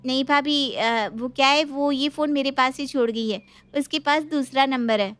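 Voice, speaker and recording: A woman's voice: high-pitched, normal speed, fairly bright tone, pitched above the performer's own voice. A woman voicing a mischievous, demanding young sister-in-law with a playful, whiny manner in a family story. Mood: teasing.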